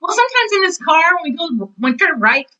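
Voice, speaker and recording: A woman in her sixties, a retired teacher, chatting casually as an interview guest in an opinionated, animated way. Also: extremely high pitch, fast talker, muffled recording.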